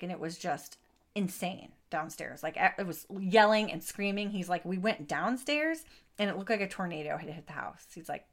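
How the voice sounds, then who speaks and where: A woman's voice: on the low side, a bit bright. A woman co-hosting a casual true crime podcast, retelling the case to a friend, acting out quoted speech and swearing freely. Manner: storytelling, acts out quotes, swears. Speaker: podcast co-host.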